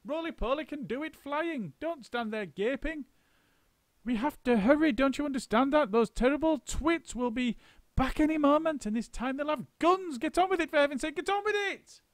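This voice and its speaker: A man voicing a frantic, bossy male monkey father who shouts orders. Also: very high voice, a little fast, dry recording, pitched above the performer's own voice. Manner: shouting, excitable, commanding.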